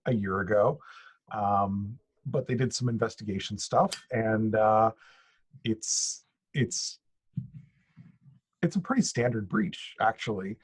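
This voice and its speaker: A middle-aged man with a low, slightly rough voice co-hosts a casual news podcast. He speaks haltingly, with a wry delivery. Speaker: podcast co-host.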